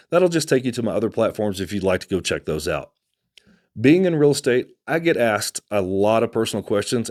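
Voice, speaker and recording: A man from Texas with a Texan accent, hosting a casual talk to camera, conversational and unhurried, with fillers and restarts. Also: fairly low pitch, a little mellow. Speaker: host.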